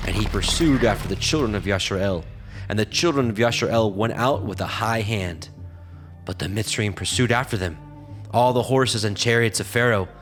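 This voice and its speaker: A man narrating scripture from a script in a measured, solemn manner. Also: on the low side, fairly bright tone.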